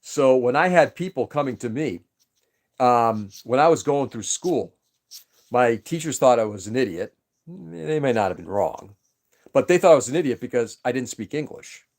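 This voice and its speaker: A man's voice: mid-range voice, a little mellow. A man whose first language was Greek, chatting casually on a livestream, rambling and greeting viewers by name. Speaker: livestream host.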